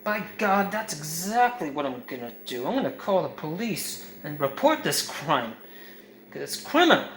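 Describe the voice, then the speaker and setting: A man with a naturally high voice reviews an old video game for comedy. He talks in an excitable, emphatic way, and his pitch rises and falls a lot. There is a faint noise in the background. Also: normal speed.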